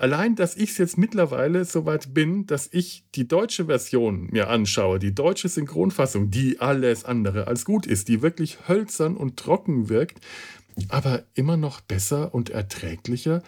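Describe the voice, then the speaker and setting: A man's voice: mid-range voice, darkish tone, husky. German man rambling through a critique. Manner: halting, many restarts and fillers. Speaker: podcast host. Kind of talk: casual spoken review.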